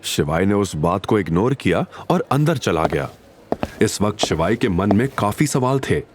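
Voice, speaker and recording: Animated male dramatic story narrator. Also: fairly low pitch, moderate pace, noisy background. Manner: suspenseful, theatrical.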